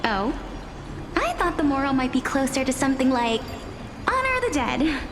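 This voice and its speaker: A woman playing a gentle, playful young scholar who tells fables in a measured, teasing way in a video game. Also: high-pitched, big pitch swings, background noise. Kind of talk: dramatic storytelling, scripted game dialogue. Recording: music behind the voice.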